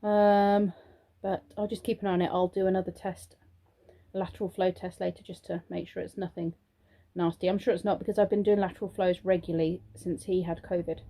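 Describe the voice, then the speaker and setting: A young British woman talks casually to camera from her home, her voice warm and mellow but a little throaty and congested. She rambles along with plenty of ums, switching to a sing-song tone when she praises children. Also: lowish voice, level tone, brisk. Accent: British English. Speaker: vlogger and childminder. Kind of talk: casual vlog chat to camera. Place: at home, indoors.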